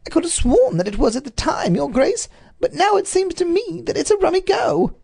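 A nervous, deferential servant answering his master with apologetic politeness, done by an audiobook reader. He sounds raised and lively in pitch. It sounds like a storybook reading. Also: fairly quick, creaky, heavily acted. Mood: flustered. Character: deferential, flustered male household servant.

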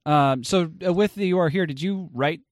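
A man's voice: on the high side, moderate pace, no echo. Casual, blunt man hosting, dry close sound. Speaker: podcast host. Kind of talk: casual interview chat.

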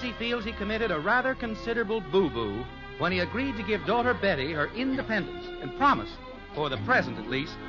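An American man narrating a 1950s radio sitcom as announcer, in a folksy, wry storytelling manner. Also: a little high. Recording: dull old radio recording with music.

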